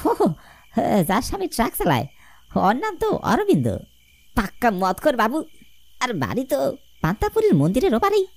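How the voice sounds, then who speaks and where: A woman's voice: a little high, huge pitch swings, moderate pace, long pauses, dry recording, exaggerated voice. A woman voicing a gossipy village rickshaw driver in a cartoon story, blunt and colloquial, with a rural Bengali dialect.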